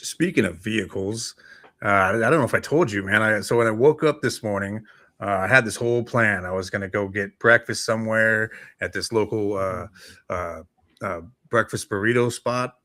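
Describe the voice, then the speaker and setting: A man's voice: fairly low pitch, pushed and bright, no room sound, studio quality. A man co-hosting a casual chat show, talking in laid-back, slangy bro style. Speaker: co-host.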